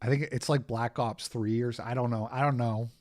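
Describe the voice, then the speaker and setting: A man with a raspy voice hosting a casual livestream, rambling, sarcastic and quick to get irritated. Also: medium pitch, steady tone. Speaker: host.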